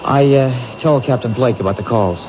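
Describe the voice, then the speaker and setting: A man's voice: medium pitch, normal speed, a little mellow, close to an everyday voice. A man playing an earnest police detective. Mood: warm, earnest. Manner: friendly, stammers when nervous. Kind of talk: 1970s radio drama acting. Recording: dull old radio broadcast with hiss.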